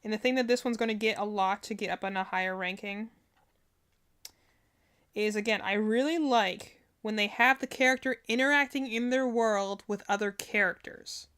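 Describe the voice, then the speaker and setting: A woman's voice: mid-range voice, moderate pace, a bit bright, clean tone. A woman giving casual reaction commentary as a streamer, rambling and often searching for her words. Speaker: streamer reacting to game character trailers.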